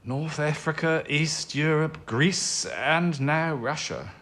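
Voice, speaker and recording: A man's voice: a little high, slow, a bit bright, exaggerated voice. A man acting a courteous, hesitant British wartime chief. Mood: grave, measured. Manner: hesitant, stammering politeness. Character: British wartime codebreaking chief, courteous, dutiful, humane official. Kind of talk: radio drama acting.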